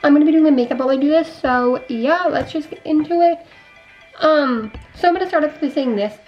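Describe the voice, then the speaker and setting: Congested young woman, high voice, chatty vlogger. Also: brisk, muffled recording, soft background music. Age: young adult. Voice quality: slightly congested nasal voice. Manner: rambling, repeats and restarts thoughts. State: sick with a cough and sore throat. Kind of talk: casual chatty life update while doing makeup.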